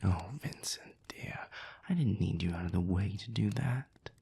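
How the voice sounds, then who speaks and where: A man voicing a haughty, scornful vampire with a raspy, breathy voice in a scripted audio drama. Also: low-pitched, measured pace, dark tone, full character voice. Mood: mocking. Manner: haughty, cutting, sardonic. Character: scornful, condescending male vampire, bitter rival. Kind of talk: scripted audio roleplay acting.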